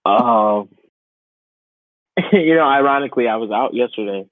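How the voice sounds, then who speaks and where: A man's voice: mid-range voice, expressive, unhurried, dry recording. A man co-hosting a podcast in casual chat, opinionated and incredulous in the way he talks. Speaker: podcast co-host. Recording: thin phone-like radio sound, dry.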